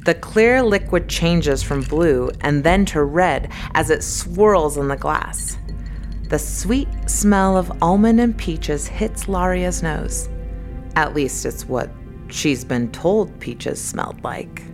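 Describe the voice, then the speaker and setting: A woman's voice: low-pitched, expressive, slow pace, darkish tone, faint music behind. A woman narrating a scripted dramatic story as the narrator of an audio drama.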